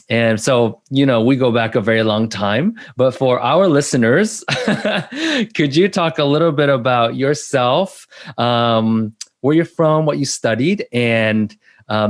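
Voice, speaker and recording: A man's voice: mid-range voice, unhurried. Man hosting a casual video-call podcast. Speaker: podcast host. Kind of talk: casual interview.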